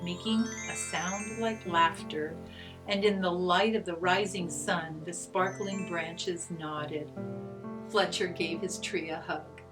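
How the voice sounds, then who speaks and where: A woman's voice: lowish voice, steady tone, slow pace, over music, low-quality recording. A middle-aged woman reading aloud to children as a storyteller and teacher, using simple phrases and coaxing questions. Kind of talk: children's read-aloud with gentle guided discussion.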